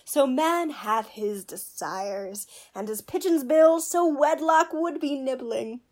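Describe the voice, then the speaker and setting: Woman playing a pompous, high-voiced court fool. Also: expressive, slow, darkish tone. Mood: cheeky. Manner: theatrical, mock-learned. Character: wordy, pompous male court fool wooing a country girl. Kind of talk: Shakespearean radio play acting.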